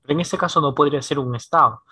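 A man in a casual conversation, musing aloud in a lively way and laughing now and then. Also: fairly high pitch, fast, slightly rough, no room sound, studio quality.

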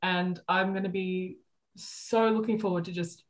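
A woman's voice: lowish voice, steady tone, unhurried. A woman co-hosting a podcast, chatting casually in an effusive, jokey manner. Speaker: podcast co-host.

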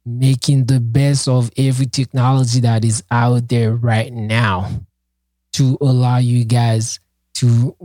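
A man whose native tongue is Haitian Creole chats on a podcast, his voice mellow and relaxed. He talks with earnest passion, stumbling through fillers and restarts. The sound is dry and close. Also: medium pitch, slow pace, no echo. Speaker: podcast co-host and project founder. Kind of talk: casual podcast chat.

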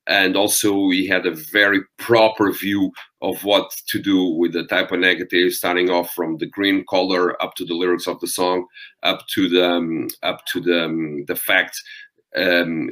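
Deep-voiced Portuguese man, bright tone. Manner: thoughtful, frequent ums and restarts. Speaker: metal vocalist guest. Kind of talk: interview conversation.

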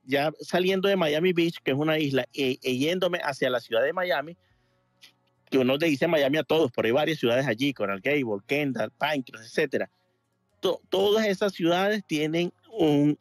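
Un hombre de Venezuela que llama a un programa en directo y explica algo con muchas muletillas y frases que vuelve a empezar. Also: male voice, a little high, lively intonation, moderate pace, dry recording, clean studio sound, faint music behind. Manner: rambling, many fillers and restarts. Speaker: caller. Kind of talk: casual call-in chat. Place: home in Miami Beach.

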